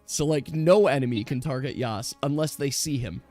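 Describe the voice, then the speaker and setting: A man running a tabletop game, explaining rules casually in a chatty, halting way. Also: medium pitch, darkish tone, faint music behind. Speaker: game master.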